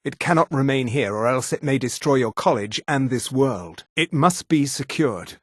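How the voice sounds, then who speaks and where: A man voicing a calm, grave high elf monk in a fantasy video game, speaking in a formal, measured manner. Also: breathy edge, dry recording, studio quality. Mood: grave, approving.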